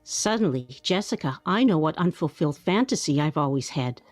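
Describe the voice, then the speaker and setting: A woman's voice: low-pitched, animated, a little fast, dry recording. An actress playing a prim woman who savours every word, in a radio play. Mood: awed. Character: prim, slow-spoken woman, savouring her small triumphs.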